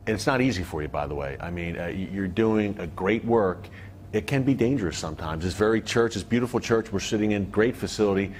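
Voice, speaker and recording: A man's voice: lowish voice, a little fast, darkish tone, slight background noise. A man presenting and interviewing as the host of a programme.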